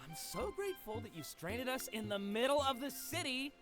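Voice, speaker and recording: A man's voice: very high-pitched, normal speed. An actor playing a dry, sarcastic young man in a game who is easily annoyed. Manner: sarcastic, deadpan. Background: game music.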